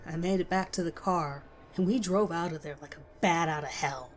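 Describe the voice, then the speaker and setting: A woman's voice: on the low side, moderate pace, a little hiss or hum, lightly acted. A woman voicing a playful, charismatic male schoolteacher who tells a frightening story with emphasis. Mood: grave, intense.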